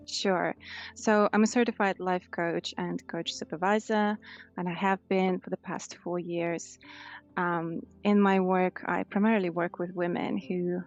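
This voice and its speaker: A woman's voice: on the low side, fairly even intonation, unhurried, highs cut off, faint music behind. A woman in her thirties with a Russian accent, answering conversationally as a life coach in an interview. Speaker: life coach, podcast guest.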